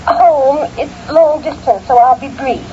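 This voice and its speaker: A woman's voice: sits high, measured pace, dark tone. An actress playing a sociable, contented and slightly resigned married woman making a call in an old-time radio drama, chatty and theatrical. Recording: muffled noisy vintage radio recording.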